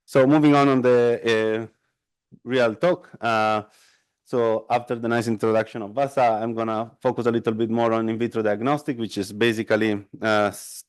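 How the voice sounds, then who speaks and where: A man's voice: mid-range voice, little pitch movement, moderate pace, smooth, dry recording, clean studio sound. Italian-accented man giving a lecture. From northern Italy. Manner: conversational, frequent fillers and tag "no?". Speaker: invited lecturer. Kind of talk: academic lecture with casual asides. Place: lecture room at a workshop.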